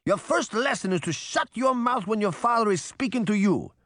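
A man's voice: on the high side, very animated, unhurried, dry recording, studio quality, pitched above the performer's own voice. Man acting a stern, scolding storybook father. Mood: stern anger. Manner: forceful, scolding. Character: stern, indignant old father scolding his son.

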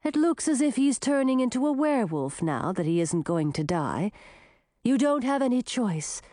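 A woman voicing a female forest spirit who speaks in a calm, measured and knowing way. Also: a little high, no room sound, clean studio sound.

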